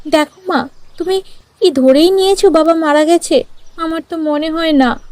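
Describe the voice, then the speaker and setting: A woman's voice: high voice, a little mellow, voice pushed up, close to an everyday voice. A woman voicing a determined, loving sixteen-year-old village girl who pleads insistently in a cartoon story. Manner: pleading, insistent, repeats words emotionally. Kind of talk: dramatized cartoon story acting. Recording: music under the voice.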